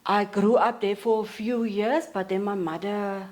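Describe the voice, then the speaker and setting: A South African woman in her forties, a counselor talking as a podcast guest in a steady, matter-of-fact way with frequent pauses. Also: medium pitch. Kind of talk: casual interview talk.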